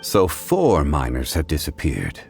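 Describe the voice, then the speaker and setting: A calm, learned gentleman from a video game, with a very deep voice. He speaks formally and with measured care, over faint music. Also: creaky, heavily acted. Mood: calm, analytical. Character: composed, scholarly gentleman, ancient being in human form. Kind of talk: English video game voice acting.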